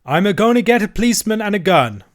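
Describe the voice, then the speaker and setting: A man acts out a stubborn country servant from a storybook. He is wary and blunt, drops his aitches and talks in folksy rural grammar, pitched a little above a plain narrating voice. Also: animated, fairly quick, lightly acted. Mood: loud, defiant. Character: wary stubborn manservant with rustic country speech.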